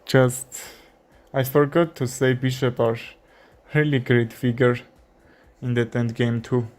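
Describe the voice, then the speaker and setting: A young man with an Armenian accent commentating his own chess game, thinking aloud with frequent fillers and pauses. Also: medium pitch, flat delivery, a little mellow. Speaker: chess streamer. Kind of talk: live commentary while playing chess.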